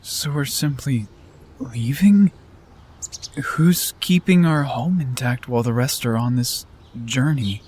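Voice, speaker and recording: A man's voice: mid-range voice, slow, a little mellow, slight background noise, lightly acted. A man playing a skeptical, displeased pack member in a fantasy audio drama, wry and formal. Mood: disapproving.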